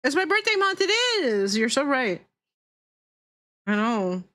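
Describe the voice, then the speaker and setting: High-voiced woman chatting casually on stream. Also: sing-song, measured pace, bright, forceful tone. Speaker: VTuber streamer. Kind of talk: casual livestream chat while drawing.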